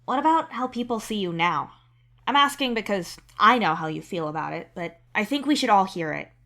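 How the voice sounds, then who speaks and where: A woman's voice: mid-range voice, animated, a little fast, sharp tone, voice pushed up. A woman voicing a thoughtful, supportive young male alien troll. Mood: sincere.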